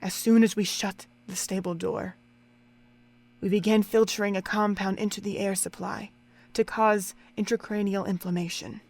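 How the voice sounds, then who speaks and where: A woman playing a cold, scornful female pony overseer in an audio drama, speaking in deliberate, contemptuous monologue. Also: mid-range voice, steady tone, darkish tone, lightly acted. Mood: calm, sinister explaining.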